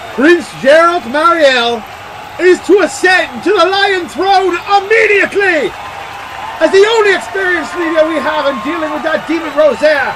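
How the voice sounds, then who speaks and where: A man acts out an impassioned, humble fantasy prince. The voice is strikingly high and declamatory, set in a radio-drama scene. A crowd and clear noise sit behind it. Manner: declamatory public speech. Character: impassioned male prince, humbly refusing the throne. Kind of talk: fantasy audio drama acting. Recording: loud crowd and background noise.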